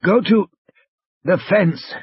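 A man's voice: high voice, slightly rough, dry recording, lo-fi sound, voice pushed up, exaggerated voice. Man acting weak, wheezy, wry invalid. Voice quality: soft, wheezy. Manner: halting, terse. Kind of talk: dramatized audiobook dialogue.

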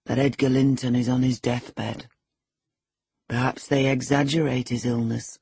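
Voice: medium pitch, little pitch movement, measured pace, vocal fry, slightly rough, no room sound, heavily acted. A narrator voicing a menacing, sardonic middle-aged man who commands and sneers, in an audiobook of a classic novel. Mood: low, musing. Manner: sneering, commanding, alternately muttering and shouting.

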